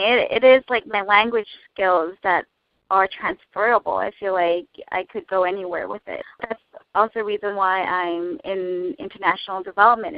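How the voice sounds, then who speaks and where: A young woman who grew up speaking Cantonese, talking in a casual interview in an earnest, thoughtful way with frequent pauses to find her words. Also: mid-range voice, dry recording. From United States. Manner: earnest, thoughtful, many hesitations. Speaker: interview guest. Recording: dull lo-fi dry recording.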